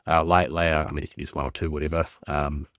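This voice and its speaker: A man's voice: a bass voice, level tone, fast talker, a bit bright, no echo, studio quality. A man with a stuffy cold, casually talking through a tutorial, thinking aloud with lots of ums and uhs. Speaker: game developer teaching. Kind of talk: casual screen-recorded tutorial.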